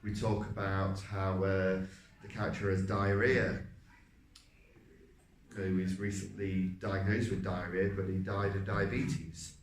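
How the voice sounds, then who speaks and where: A man with a deep voice, a stage actor talking through a role, hesitant with many fillers. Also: flat delivery, slow pace, slight background noise. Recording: roomy, from a distance.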